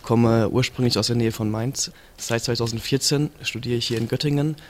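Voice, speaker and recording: A man's voice: medium pitch, steady tone, moderate pace, slight background noise. A man in his twenties introducing himself matter-of-factly. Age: 20s. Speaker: law student.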